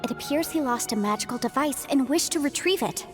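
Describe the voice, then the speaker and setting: A woman's voice: high-pitched, lively intonation, brisk, music in the background. A woman playing a polite, articulate young female legal advisor in an English video game dub, cheerfully businesslike. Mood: polite, explanatory. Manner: articulate, explanatory.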